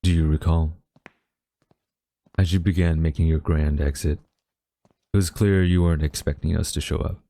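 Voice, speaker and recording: A man playing a haughty, wistful government agent in a dramatic audio roleplay, speaking in a smug, deliberate, theatrical way to a captured former friend. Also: rumbling low, steady tone, dark tone, no room sound. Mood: menacing, deliberate.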